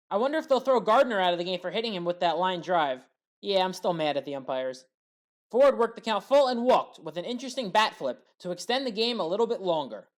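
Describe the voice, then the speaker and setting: A woman giving scripted baseball highlight commentary as an opinionated, partisan fan. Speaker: sports commentator.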